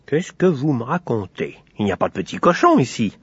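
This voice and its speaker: Guy doing a grumpy impatient storybook dad, brusque cafe owner, lively swoopy pitch, husky. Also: fairly quick, no echo, lo-fi sound. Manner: irritable, brusque. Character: gruff, impatient father, café owner.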